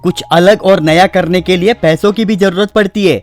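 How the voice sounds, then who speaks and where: An actor playing a simple, plaintive village husband who sells slippers in a comic story. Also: male voice, high voice, fairly even intonation, moderate pace, soft background music, close to an everyday voice. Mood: worried.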